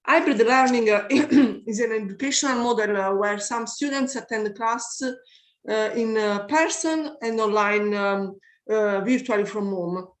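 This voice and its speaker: A woman from Italy, a math teacher, presenting in careful, halting English with an Italian accent. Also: a little high, reverberant. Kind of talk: conference presentation. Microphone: video call.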